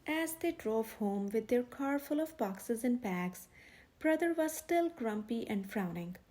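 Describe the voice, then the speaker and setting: A woman reading a children's picture book aloud as a storyteller, with expressive, clearly phrased narration. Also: on the high side, measured pace.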